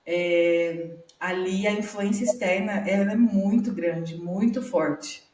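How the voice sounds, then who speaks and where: A woman's voice: on the low side, slow, roomy sound, recorded from a distance, background noise. A Brazilian woman, a psychologist, explaining things in a conversational way, with many hesitations and tag questions. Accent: Brazilian Portuguese. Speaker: psychologist guest. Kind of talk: conversational video call discussion.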